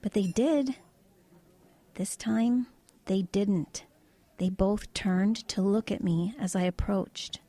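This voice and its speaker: A woman's voice: mid-range voice, slow, long pauses, mellow. An actress playing a matter-of-fact woman truck driver in an audio drama, recounting eerie visions in a hesitant, conversational way. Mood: quiet, wistful.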